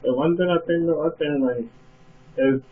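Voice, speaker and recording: A young man from Ghana, interviewing someone by phone in a laid-back, halting way. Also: fairly high pitch, slight background noise. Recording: tinny phone line.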